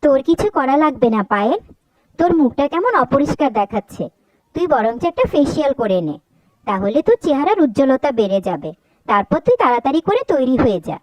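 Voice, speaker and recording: A woman's voice: sits high, very animated, a little fast, dry recording, muffled recording. A woman voicing a kind-hearted, dutiful young sister in a cartoon, speaking earnestly and frankly. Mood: earnest, caring. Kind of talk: Bengali cartoon voice acting.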